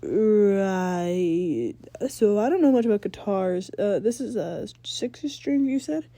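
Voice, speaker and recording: A woman voicing an earnest, unsure teenage boy hero in a read-aloud story, speaking in a hesitant, halting way. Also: medium pitch, animated, mellow, dry recording. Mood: curious.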